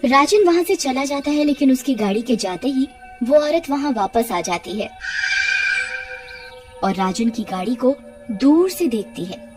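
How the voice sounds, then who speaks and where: Female narrator, higher pitch, dramatic scripted storytelling, music bed. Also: lively intonation, unhurried.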